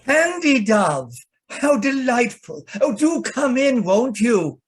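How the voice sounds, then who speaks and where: A man's voice: extremely high pitch, sing-song, slow, husky, full character voice. A man plays a fussy, affectionate grumbler of a photographer in a radio mystery. He takes his time over every phrase, arch and genteel, dithering theatrically. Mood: fussy, welcoming. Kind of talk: old-time radio comedy-mystery acting.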